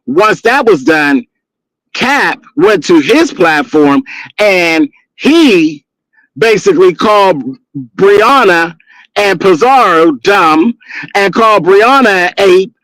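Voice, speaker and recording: Blunt, sassy man in his fifties with a high voice, recorded dry and close. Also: expressive, slow, fairly bright tone, no room sound, poor audio. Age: 50s. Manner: sassy, blunt, gossipy with cussing. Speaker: guest on a live stream. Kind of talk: casual chat and storytelling.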